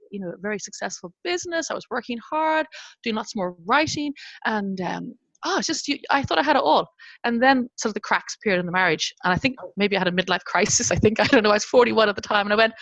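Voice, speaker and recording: A woman's voice: mid-range voice, animated, fast, a bit bright, no room sound, highs cut off. An Irish woman in her fifties, a guest telling her story in a casual interview and laughing often. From Ireland. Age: 50s.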